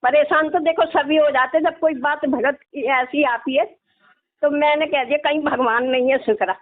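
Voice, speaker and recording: A woman's voice: high voice, steady tone, moderate pace. A middle-aged woman, chatting casually in a complaining way and tagging phrases with little questions. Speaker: caller, religious devotee. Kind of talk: casual phone conversation. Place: phone call. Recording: tinny, narrow phone line.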